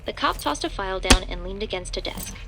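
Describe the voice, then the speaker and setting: Woman, horror story narrator, scripted delivery, creaky voice, medium pitch, clear background noise. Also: lively intonation, brisk.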